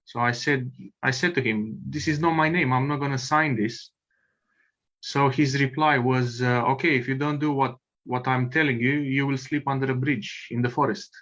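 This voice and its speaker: A man from Romania with a Romanian accent, speaking slowly and hesitantly with many ums on a video call. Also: medium pitch, fairly even intonation, a bit bright, muffled recording. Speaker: meeting participant.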